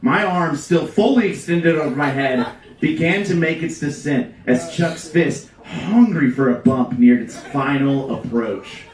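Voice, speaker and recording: A man reading a comic story aloud at a live event, with theatrical emphasis and dramatic pauses. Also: on the high side. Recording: echoey live room, distant mic, background noise.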